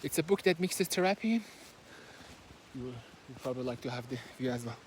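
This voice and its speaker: A man's voice: medium pitch, expressive, fairly quick, darkish tone, slight background noise. A man with a Persian accent, whose first language is Persian, talks casually while walking outdoors. He summarizes a book hesitantly, with many fillers and restarts, recorded on a simple phone. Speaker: book reviewer. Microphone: handheld phone, no fancy equipment.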